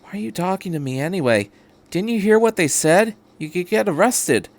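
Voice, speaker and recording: Story reading: man as withdrawn teen boy, quiet, hesitant, short-spoken and anxious. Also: sits high, lively intonation, moderate pace, voice pushed up. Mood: puzzled.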